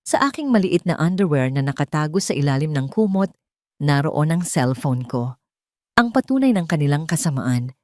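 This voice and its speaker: A woman with a Filipino accent narrating a dramatic first-person story in an expressive, scripted storytelling manner. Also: sits low, normal speed, no room sound, studio quality.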